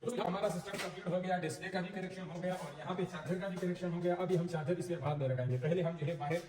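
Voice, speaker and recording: A man's voice: high voice, steady tone, rapid-fire. A man giving an informal hands-on tutorial as he works, explaining step by step and repeating himself. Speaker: installer presenting a tutorial. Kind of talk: hands-on tutorial narration while working. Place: on an installation site. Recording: clear background noise on location.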